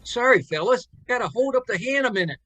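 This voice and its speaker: An actor playing a gruff, jokey married workman with an American accent and folksy slang, in a radio drama. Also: extremely high pitch, lively intonation, a little fast, a bit bright, dry recording, heavily acted. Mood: gruff, joking. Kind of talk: old-time radio drama acting.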